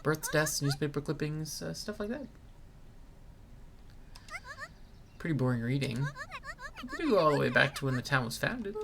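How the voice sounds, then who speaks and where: A woman's voice: sits low, big pitch swings, a little fast, vocal fry, noisy background, heavily acted. A woman voicing a dryly principled, bookish young boy in a video game, with a wry, matter-of-fact delivery. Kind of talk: dramatic reading of a video game. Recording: music behind the voice.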